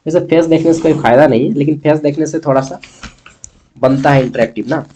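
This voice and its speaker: Higher-pitched Jharkhand man teaching informally. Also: a little fast, mellow, slight background noise. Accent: Jharkhand Hindi. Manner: conversational, repeats key terms, asks himself questions and answers them. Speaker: history teacher. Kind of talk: informal exam-prep lesson.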